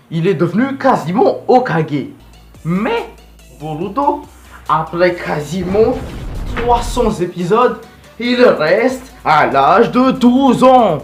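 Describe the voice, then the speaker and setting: A man's voice: sits high, reverberant. A young man giving casual, slangy video commentary in an informal, digressive way. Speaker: video host.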